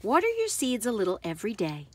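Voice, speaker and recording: A woman reading a children's book aloud with careful, expressive phrasing for young listeners. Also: medium pitch, fast.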